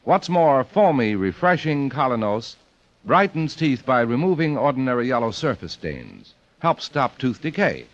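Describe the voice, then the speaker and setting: A man's voice: mid-range voice, big pitch swings, moderate pace. An American man announcing and reading advertisements in a polished, emphatic 1940s radio style. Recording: muffled old radio recording.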